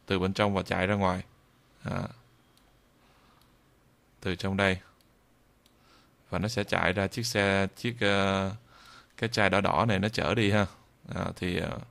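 Low, creaky-voiced man giving a tutorial. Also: fairly bright tone. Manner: halting, with frequent drawn-out hesitations. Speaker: software tutorial instructor. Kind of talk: informal screen-recorded tutorial narration. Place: indoors at a computer, screen recording voice-over.

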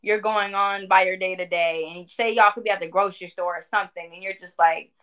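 A woman in her twenties talking casually on a livestream, animated and emphatic, often repeating phrases for emphasis. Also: mid-range voice, fairly quick, clear, resonant tone, rough recording. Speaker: spiritual community speaker. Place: indoor room at home.

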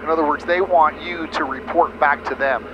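Higher-voiced American male flight instructor, cockpit noise. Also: steady tone, normal speed, lo-fi sound. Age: middle-aged. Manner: explanatory, step-by-step. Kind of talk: hands-on instruction. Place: small airplane cockpit in flight. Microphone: aviation headset. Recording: headset audio with engine noise.

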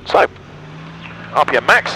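English pilot talking over engine noise. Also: male voice, measured pace, sharp tone, low-quality recording. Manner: clipped, procedural phrases with upbeat asides. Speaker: pilot and vlogger. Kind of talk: in-flight commentary and radio calls. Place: small microlight cockpit in flight. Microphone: aviation headset. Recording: engine noise over aviation headset audio. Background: aircraft engine drone.

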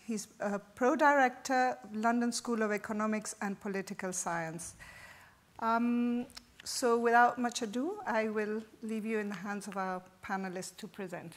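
A woman moderating a conference panel, introducing the speakers with frequent fillers. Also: medium pitch. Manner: warm thanks, halting with fillers. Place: conference hall stage.

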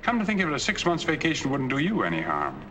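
An actor playing a wealthy, lonely middle-aged man in a 1940s film, polite, gentle and well-spoken. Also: a little high, huge pitch swings, fast. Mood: earnest. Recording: hissy 1940s film soundtrack.

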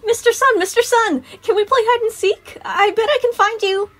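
A woman voicing a small, excitable girl at daycare in a story, calling out in an eager, pleading way. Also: very high-pitched, moderate pace, voice pushed up.

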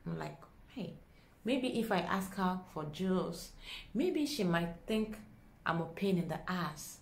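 A young woman with a Nigerian accent tells a story to camera in a dramatic, expressive way, acting out dialogue as she goes. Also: fairly low pitch, slow pace, vocal fry. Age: young adult. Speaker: vlogger. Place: small indoor room at home. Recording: echoey small room.

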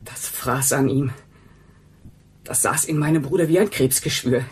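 A woman's voice: extremely low pitch, a little slow, a little mellow, husky, heavily acted. A woman playing a defensive, agitated housekeeper in a radio crime drama, speaking in insistent, broken sentences. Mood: brooding, troubled. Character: defensive, agitated housekeeper protective of her brother. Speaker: actress in a crime radio play. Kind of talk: German radio drama acting.

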